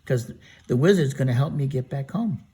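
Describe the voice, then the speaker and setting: A man's voice: mid-range voice, lively intonation, normal speed, warm tone, slightly rough. A man voicing a brave, kind young girl in a storybook reading, in a voice close to his own. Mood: earnest. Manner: plain, close to the reader's own voice.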